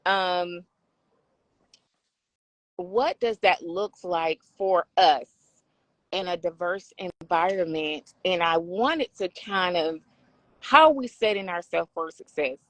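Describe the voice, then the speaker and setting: Raspy-voiced woman hosting a talk show. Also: fairly low pitch, slow, pauses often, a bit bright, dry recording, rough recording. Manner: affirming, frequent agreement sounds. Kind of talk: casual discussion.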